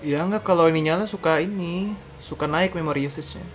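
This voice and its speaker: A man's voice: fairly high pitch, fast talker. A young man with an Indonesian accent giving casual, slangy, blunt gameplay commentary as a streamer. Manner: blunt, colloquial, swearing. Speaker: game streamer. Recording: voice over clear game music. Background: game music.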